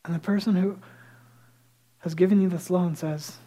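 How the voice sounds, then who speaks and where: A man with a high, light voice preaching a sermon as a pastor, telling stories lively and dropping into hushed asides. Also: moderate pace, low-quality recording. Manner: lively storytelling that drops to hushed, near-whispered asides; self-deprecating and conversational.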